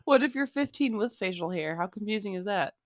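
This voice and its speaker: A woman's voice: medium pitch, lively intonation, a little fast, dry recording, studio quality. A young woman chatting casually as a podcast co-host. She is playful and argumentative, laughs often and swears freely. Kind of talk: casual chat.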